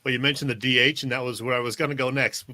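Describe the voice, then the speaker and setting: A man's voice: medium pitch, steady tone, very fast, pushed and bright, no room sound. An American man hosting a conversational sports interview, putting questions about baseball to a guest. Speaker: sports show host, interviewer.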